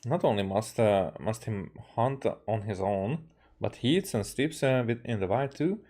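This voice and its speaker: A man, a game streamer reading dialogue aloud haltingly and correcting himself as he goes. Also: on the low side, animated, dark tone. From Hungary.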